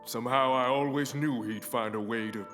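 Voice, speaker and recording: An actor playing a weary, fatalistic bomb disposal expert in a video game drama, earnest and rueful. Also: male voice, mid-range voice, steady tone, normal speed, fairly bright tone, long reverb tail, faint music behind, natural voice. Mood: resigned.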